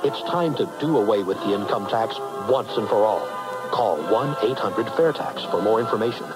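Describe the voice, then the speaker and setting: A man's voice: lowish voice, animated, normal speed, poor audio. An American man reading a scripted, persuasive political radio advertisement with emphatic, list-like delivery. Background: loud music bed.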